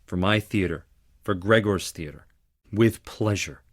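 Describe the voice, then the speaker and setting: An actor playing a charming, theatrical theater performer with dramatic flair in a video game. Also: male voice, on the low side, measured pace. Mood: tense, bitter. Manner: theatrical, dramatic, swinging between playful and intense. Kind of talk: video game character dialogue.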